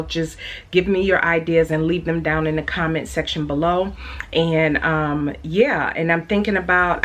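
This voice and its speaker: Low-voiced woman, nasal with a cold. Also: fairly bright tone. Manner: chatty, unhurried, drawn-out emphasis, many fillers. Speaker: sewing vlogger. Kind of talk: casual chat to camera.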